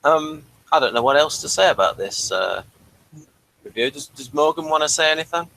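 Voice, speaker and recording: A man's voice: a little high, animated, moderate pace, vocal fry, sharp tone, poor audio. A man co-hosting a casual review chat, speaking in a hesitant, understated way. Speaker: co-host of an episode review show.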